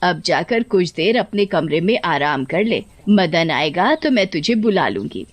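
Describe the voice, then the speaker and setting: A woman's voice: on the low side, big pitch swings, a little slow. A woman voicing a domineering, scheming older mother-in-law in a cartoon story. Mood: falsely sweet. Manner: scolding, domineering, falsely sweet to her son. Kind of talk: Hindi cartoon story voice acting.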